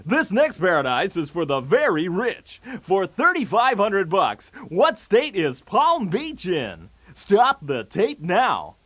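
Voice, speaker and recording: A man's voice: high-pitched, wildly swooping pitch, slow pace, few pauses, a bit bright, slightly rough, full character voice. A man playing a brash, wisecracking 1980s TV game show host with showy, punchy patter. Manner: showy, jokey, with punchy delivery of gags.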